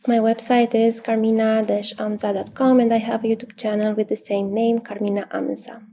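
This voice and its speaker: A woman in her thirties from Romania with a Romanian accent, an astrologer answering interview questions thoughtfully, with pauses and fillers. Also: fairly high pitch, flat delivery, a little hiss or hum. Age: 30s. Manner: thoughtful, many pauses and fillers, soft laughs. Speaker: astrologer guest.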